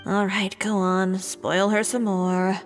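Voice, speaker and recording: A woman's voice: medium pitch, level tone, takes it slowly, in a large hall, music in the background, lightly acted. An actress voicing a blunt, shrewd young woman in a video game, with a wry, teasing, direct delivery. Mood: wry, sceptical.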